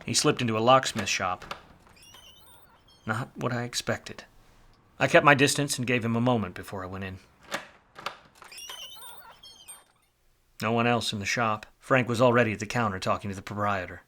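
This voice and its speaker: An actor playing a weary, wisecracking 1940s private detective in a film noir radio play, with a wry, slangy manner. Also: male voice, lowish voice, a little fast, bright, forceful tone, a little hiss or hum. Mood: brooding. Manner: wry, hard-boiled, slangy.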